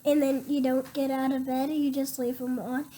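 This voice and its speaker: A girl with a cough and a stuffy nose, explaining her home remedies in a halting way, full of ums and restarts. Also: high-pitched, level tone, warm tone, slight background noise. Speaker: young guest.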